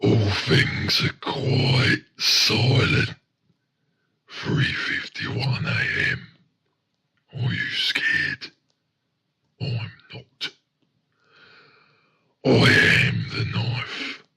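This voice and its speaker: Man acting a gravelly, brooding serial killer, painfully slow. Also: medium pitch, bright, forceful tone, exaggerated voice. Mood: menacing, cold. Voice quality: gravelly, breathy half-whisper. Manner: slow, fragmented, menacing delivery. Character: brooding male serial killer reciting a dark monologue. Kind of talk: dramatic spoken-word monologue.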